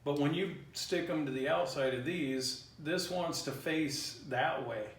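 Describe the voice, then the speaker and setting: Man, hobbyist how-to presenter. Slightly high voice, unhurried delivery, plain-spoken and informal; roomy sound, off the mic. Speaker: hobbyist presenter demonstrating a DIY headset build. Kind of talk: casual unscripted how-to explanation. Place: roomy garage. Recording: roomy echo, distant mic, faint noise.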